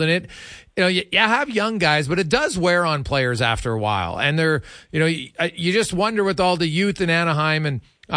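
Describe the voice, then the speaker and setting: A male sports radio host with a bright, punchy voice pitched a little high. He talks conversationally as he puts questions to a guest, in a very dry, close studio sound. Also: no room sound. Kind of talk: live sports interview, conversational.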